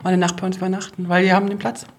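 A middle-aged woman from Berlin with a Berlin accent, chatting in a lively, talkative way about her home. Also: fairly low pitch, rapid-fire, crowd noise. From Berlin, Germany. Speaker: host to guests. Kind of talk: casual chat.

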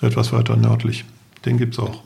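A man's voice: medium pitch, completely flat delivery, normal speed, creaky, dark tone, breathy edge. A middle-aged man casually recalls travels in a conversational storytelling way. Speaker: podcast guest, travelling father.

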